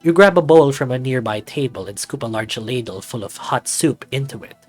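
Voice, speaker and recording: A man's voice: mid-range voice, animated, fairly quick, a little mellow, soft background music. A man hosting a visual novel read-through, narrating and commenting casually. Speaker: video host and visual novel reader.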